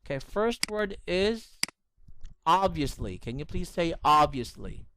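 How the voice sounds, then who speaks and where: A man's voice: on the high side, big pitch swings, measured pace, background noise. A man teaching English in an online lesson, correcting pronunciation and praising his student often. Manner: encouraging, corrective, repeats praise.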